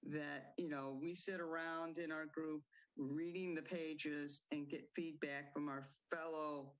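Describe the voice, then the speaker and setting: Playwright, woman, measured answers, guest on local TV. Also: low-pitched, fairly even intonation, poor audio. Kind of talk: interview answers.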